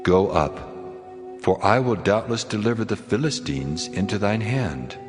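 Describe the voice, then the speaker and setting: An actor playing the voice of God in a dramatized scripture audiobook, solemn and commanding, in cavernous reverb. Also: male voice, fairly low pitch, lively intonation, a little slow, music in the background. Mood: commanding assurance. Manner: authoritative, solemn.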